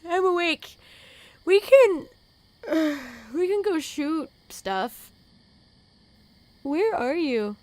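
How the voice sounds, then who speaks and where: A woman playing an eager, naive young wasteland traveler in an audio drama, speaking in an earnest, excitable, inquisitive way. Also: very high-pitched, very slow, pauses often, heavily acted. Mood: sleepy, earnest.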